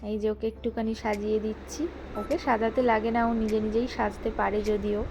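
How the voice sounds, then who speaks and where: A woman's voice: mid-range voice, little pitch movement, a little fast, poor audio. A woman narrating her everyday life in a casual vlog style, with music behind her. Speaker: vlogger narrating her day. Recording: music under the voice. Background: background music.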